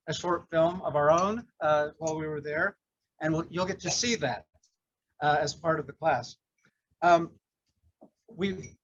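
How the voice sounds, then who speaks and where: An older man, a retired English professor, earnestly introducing his class in a measured way, with frequent ums and uhs. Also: fairly high pitch, fairly bright tone, highs cut off. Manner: earnest, measured, with many fillers.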